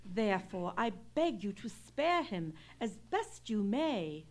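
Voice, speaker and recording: A woman's voice: mid-range voice, sing-song, slow. A woman playing an anxious, eloquent English aristocratic lady in an old-time radio play, speaking in formal, theatrical period diction. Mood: anxious, pleading.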